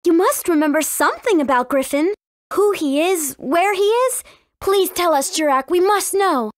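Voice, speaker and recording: A woman playing a friendly, earnest, cautious teenage princess in a video game, with expressive delivery. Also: very high voice, moderate pace, no echo, studio quality. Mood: earnest, urgent. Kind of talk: video game dialogue acting.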